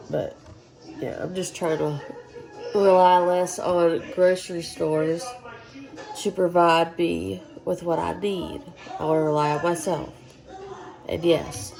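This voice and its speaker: A woman's voice: sits low, vocal fry, dark tone, poor audio. A woman with a Southern US accent, congested and nasal from sinus trouble, chatting bluntly in her kitchen with swearing and dry humor. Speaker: homesteading vlogger. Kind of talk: casual chat to camera. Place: home kitchen.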